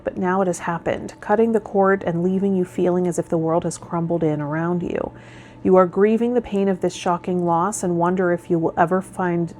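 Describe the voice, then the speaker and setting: A woman hosting a tarot vlog, speaking in a measured, instructive way as a spiritual guide. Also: on the low side, mellow, a little hiss or hum. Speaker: host of a tarot and spiritual healing channel. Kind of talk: tarot vlog, part read-out guidance, part informal talk.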